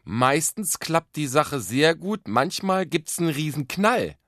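A man's voice: a little high, big pitch swings, takes it slowly, fairly bright tone, no room sound, clean studio sound, voice pushed up, full character voice. A man voicing an anxious young boy in a children's storybook, reciting with a hesitant, effortful manner. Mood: loud, deliberate reciting. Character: nervous young boy, anxious but imaginative, reciting a poem.

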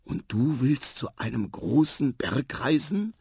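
Narrator doing a sleepy shy baby elephant from a kids story, slow husky mumbling, kinda creaky, good natured. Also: mid-range voice, very animated, darkish tone, no echo, studio quality, voice pushed up, heavily acted. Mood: earnest, drowsy. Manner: drowsy, murmuring, hesitant.

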